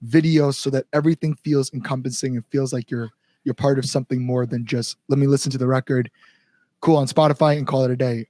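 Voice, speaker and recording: An American man chatting casually as an interview guest, telling stories in an animated, informal way. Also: mid-range voice, no echo, studio quality. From Chicago area, United States. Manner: animated storytelling, quotes people, frequent "like", informal swearing. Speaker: band singer, interview guest. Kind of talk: casual podcast conversation.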